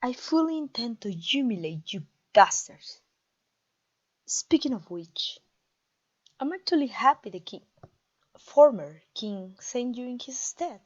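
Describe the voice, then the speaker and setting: A woman voicing an imperious, vengeful vampire queen in a fantasy audio roleplay, taunting a captive with theatrical, deliberate pauses. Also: fairly high pitch, sing-song, lo-fi sound. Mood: scornful, gloating. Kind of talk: scripted ASMR fantasy roleplay audio drama.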